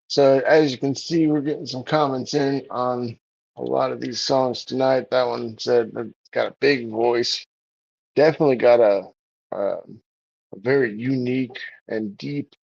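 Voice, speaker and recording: A man's voice: mid-range voice, gravelly, darkish tone, no echo, highs cut off. A man hosting a music livestream in a casual, rambling way, with many fillers and restarts. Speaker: livestream show host.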